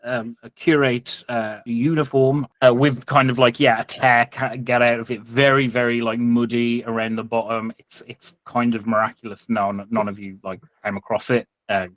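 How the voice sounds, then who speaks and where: A man's voice: medium pitch, flat delivery, normal speed, hardly any pauses, a bit bright, dry recording. A man with a British accent improvising tabletop game narration as game master, colloquial and hesitant as he describes.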